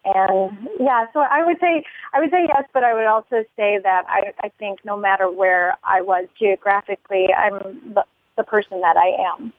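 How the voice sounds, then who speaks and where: A woman's voice: mid-range voice, no echo. A musician woman from the United States, talking in a phone interview, rambling and laughing often. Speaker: musician guest. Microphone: telephone. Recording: tinny phone line.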